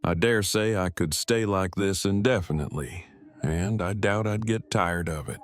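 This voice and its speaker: A man's voice: low-pitched, moderate pace, no echo, natural voice. A man acting an awkward, earnest, bookish character in an audio drama, speaking in stilted, wordy, formal phrases. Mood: tender, earnest.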